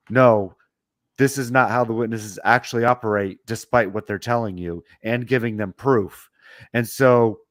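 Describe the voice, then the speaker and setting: A man co-hosting a casual chat show, hoarse from a sore throat, talking quickly with frequent restarts. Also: medium pitch, no echo, clean studio sound. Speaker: podcast co-host.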